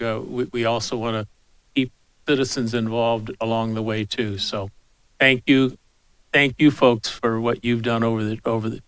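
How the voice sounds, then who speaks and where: A man speaking as a city commissioner at a public meeting, measured and halting with restarts. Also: medium pitch, a bit bright, smooth, no room sound, rough recording.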